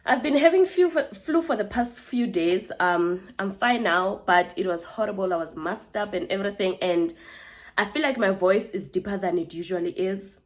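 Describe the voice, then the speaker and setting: A woman's voice: mid-range voice, moderate pace. A woman in her twenties with a South African accent, telling a personal story casually to camera as a vlogger, chatty and full of asides to her viewers. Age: 20s.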